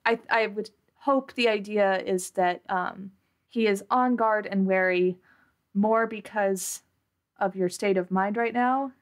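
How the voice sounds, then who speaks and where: A woman's voice: medium pitch, takes it slowly. A woman improvising narration as a player in a tabletop role-playing podcast, thinking aloud hesitantly.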